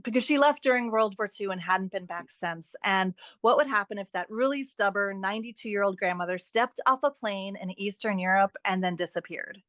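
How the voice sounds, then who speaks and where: A woman speaking as a guest author in a podcast interview, expressive and enthusiastic in a conversational way. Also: medium pitch, dry recording.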